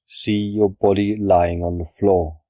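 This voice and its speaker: A man with a low-pitched voice guides a relaxation practice in calm, measured phrases. He gives each instruction plainly and leaves pauses between them. The sound is dry and close, with very little room. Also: dry recording, dull audio. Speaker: yoga nidra guide. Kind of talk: guided meditation, scripted narration.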